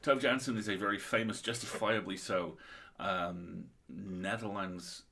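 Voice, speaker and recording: A man's voice: sits low, steady tone, unhurried, creaky, bright, forceful tone, slight background noise. A man co-hosting a casual literary discussion, speaking in an enthusiastic, articulate and opinionated way. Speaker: co-host of a story reading livestream.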